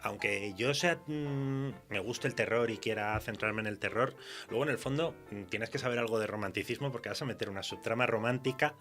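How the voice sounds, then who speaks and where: A man's voice: fairly low pitch, brisk, a bit bright, faint music behind. A man with a Spanish accent from Spain, chatting informally and with firm opinions as a guest writer on a radio interview. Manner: informal, laughs often, opinionated. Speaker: guest writer of horror fiction. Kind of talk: casual chat in a radio interview.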